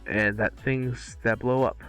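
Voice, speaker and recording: A man's voice: medium pitch, steady tone, measured pace, fairly bright tone, clean tone, faint music behind. A man reading dramatic movie dialogue casually at an amateur table read, with occasional stumbles.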